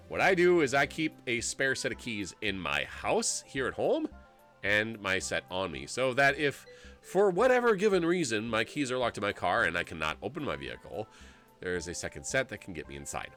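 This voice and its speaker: A man in his forties streams a story game, reading the narration aloud and rambling between lines. He jokes and laughs a lot, and his pitch swings widely. Faint game music sits behind him. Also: moderate pace. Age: 40s. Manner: animated and jokey, laughs often, rambles.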